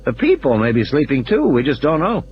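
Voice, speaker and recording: A man plays an assured control room engineer in an audio play, briskly explaining. The voice is pitched up and animated, on a slightly dull recording with noise behind it. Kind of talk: audio play character dialogue.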